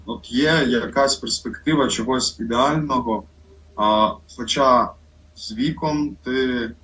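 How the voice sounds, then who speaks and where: A man talking reflectively in conversation, slow and halting with many pauses and fillers. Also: on the low side, fairly bright tone, far from the mic, a little hiss or hum, rough recording.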